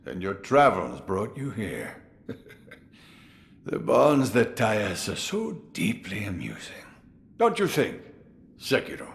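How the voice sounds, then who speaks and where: An actor playing a crafty, jovial old samurai sword master in a video game, musing theatrically with drawn-out amusement. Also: male voice, lowish voice, huge pitch swings, gravelly, full character voice. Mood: wry, amused. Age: older. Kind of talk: dramatic video game voice acting.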